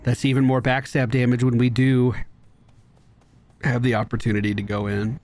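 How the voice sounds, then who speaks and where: A man's voice: medium pitch, little pitch movement, moderate pace, a little mellow. A man giving casual running commentary as he plays a video game, talking to himself with exasperated exclamations and swearing. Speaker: gaming video creator.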